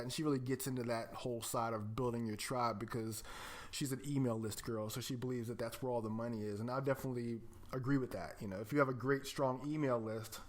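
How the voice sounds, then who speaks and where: A man co-hosting a podcast, talking casually and emphatically as he recommends resources and often addresses the listener directly. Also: medium pitch. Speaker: podcast co-host.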